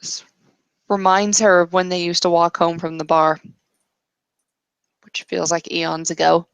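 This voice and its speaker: A woman narrates her part of a tabletop roleplay in a halting, searching way. Her voice is slow and creaky, fairly low, and very dry on the recording. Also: steady tone, no room sound. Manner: hesitant, halting. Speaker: roleplay game player. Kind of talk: improvised third-person narration of a tabletop roleplay.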